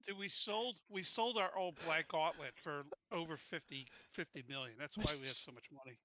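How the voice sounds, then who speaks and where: Bright, higher-voiced man in casual game chat. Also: normal speed, muffled recording. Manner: informal, often says "dude". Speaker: tabletop game player.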